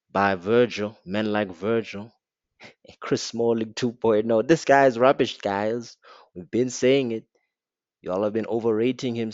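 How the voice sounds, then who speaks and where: A man with an African accent, his voice sitting on the low side, rants casually about a match in a blunt, slangy and mocking way. The recording sounds dull and lo-fi, with a dry, close feel. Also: no room sound. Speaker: football fan reviewing a match. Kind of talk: casual rant to camera.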